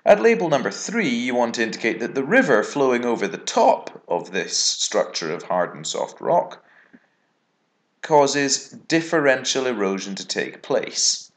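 A British male geography teacher talks through an exam answer over slides. His voice sits fairly low, but his intonation moves a lot. He explains step by step in a measured, instructive manner. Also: a bit bright. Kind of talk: exam revision lesson narrated over diagrams.

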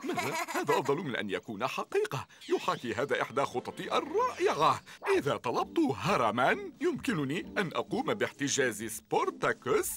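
An actor dubbing a sly, gleeful grown-up villain in a children's TV show, theatrical and cackling, with music behind. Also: male voice, huge pitch swings, a little fast, few pauses, fairly bright tone. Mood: scheming. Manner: theatrical, cackling, wildly swooping pitch. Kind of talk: Arabic dub of a children's TV show.

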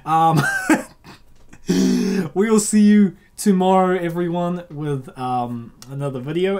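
A woman hosting a comic dub with casual, rambling, excitable reaction commentary. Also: low-pitched.

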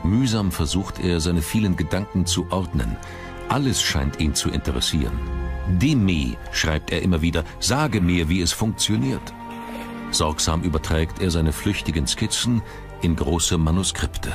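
A man's voice: deep voice, animated, normal speed. A man reading scripted documentary narration as the narrator. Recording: music under the voice. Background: background music.

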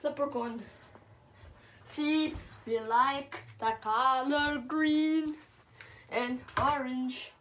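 A woman's voice: sits high, fairly even intonation, extremely slow, fairly bright tone, slight background noise. A young girl chanting and talking playfully while dancing and jumping around her bedroom, recorded on a phone on the floor. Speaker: performer of a homemade dance video. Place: bedroom at home.

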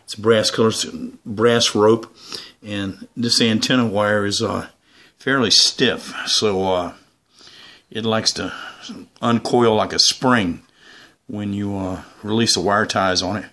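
A man with a fairly low voice presents equipment in a homemade vlog. He speaks off the cuff and casually, wandering from point to point with hesitant pauses. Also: fairly even intonation, bright, forceful tone. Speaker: YouTuber presenting his radio antennas. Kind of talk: casual unscripted product show-and-tell.

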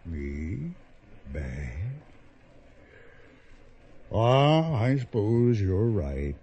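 A man's voice: lowish voice, sing-song, warm tone, husky, dull audio, full character voice. An actor playing a smug, cruel villain in a radio drama, mockingly polite and condescending as he drawls his lines. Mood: mock-wounded. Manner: slow, drawling, chuckling condescension.

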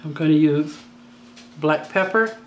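Woman w a bit of a raspy voice chatting thru a home cooking video, faint kitchen noise behind. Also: low-pitched, unhurried. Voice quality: slightly raspy. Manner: chatty, down-to-earth, joking asides. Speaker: cooking channel host. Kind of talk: casual cooking tutorial voice-over.

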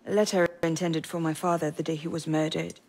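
A woman's voice: sits low, level tone, a little fast, darkish tone. A woman playing a bitter, grieving young noblewoman in a game drama, speaking with cold, restrained reproach. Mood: bitter grief.

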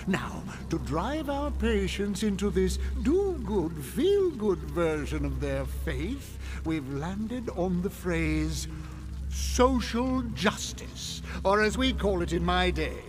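Senior demon mentor: high, swooping voice, pompous and scheming, radio drama with music. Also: male voice, very animated, exaggerated voice. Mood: smug instructing. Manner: pompous, slow, deliberate.

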